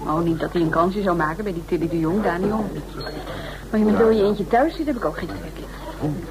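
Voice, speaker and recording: An actress in a Dutch radio drama playing a grumpy, jealous, sarcastic woman with sharp retorts. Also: fairly low pitch, fairly quick, crowd noise, close to an everyday voice, poor audio. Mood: irritated.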